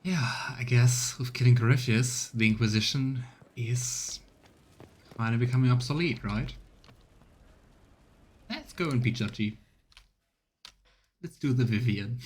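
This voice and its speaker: Man, streamer, casual game commentary, relaxed, musing, chatty, faint background hiss. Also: mid-range voice, measured pace, pauses often. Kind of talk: casual let's play commentary.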